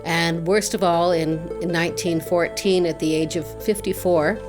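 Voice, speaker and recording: Woman narrator, lowish voice, calm storytelling, music underneath. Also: normal speed. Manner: calm storytelling with occasional fillers. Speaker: narrator, family descendant. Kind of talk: documentary narration.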